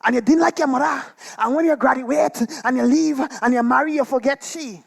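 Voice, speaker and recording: A man plays an outraged Trinidadian woman in a family feud from a stage story. She is loud, shrill and confrontational, throwing accusations in thick dialect. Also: a little fast, gravelly, heavily acted. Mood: accusing. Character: indignant quarrelling female relatives at a will reading.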